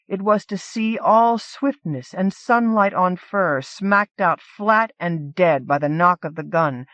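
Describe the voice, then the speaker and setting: A woman's voice: on the low side, no room sound. A woman reading literary fantasy fiction aloud as a scripted audiobook narration. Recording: dull lo-fi cassette audiobook transfer.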